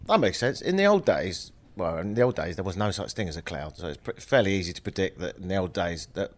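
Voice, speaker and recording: Deep-voiced man with a stuffy cold. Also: expressive, fast talker. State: congested from a cold. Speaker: podcast host. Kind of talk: casual interview.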